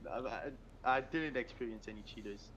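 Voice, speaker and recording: A man's voice: medium pitch, fairly quick, a bit bright, muffled recording, a little hiss or hum. A Moroccan-born man whose first language is French, speaking English with a French accent as a podcast guest over a phone, giving short, hesitant answers. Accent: French-speaking Quebec, Moroccan-born. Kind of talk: casual podcast chat. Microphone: mobile phone.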